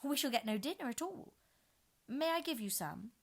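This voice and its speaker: A polite, nervous young girl from a storybook who stumbles over her words in a bright, raised voice, as a woman reading puts her on. Her pitch lifts and dips often. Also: animated, normal speed. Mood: flustered. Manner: hesitant, stammering.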